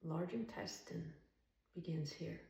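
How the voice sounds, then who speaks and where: Slow, mellow woman guiding meditation, roomy sound. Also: deep voice, creaky. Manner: calm, measured, soothing, with long pauses. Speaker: yoga meditation instructor. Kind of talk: guided meditation, body scan instruction. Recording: roomy echo, distant from mic.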